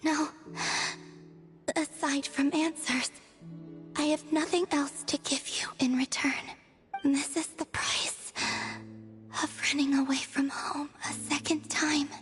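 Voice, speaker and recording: A woman playing a weary, melancholy young moon goddess in a fantasy video game, speaking in a soft, breathy near-whisper with solemn, measured phrasing. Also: sits high, level tone. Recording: background music under the voice.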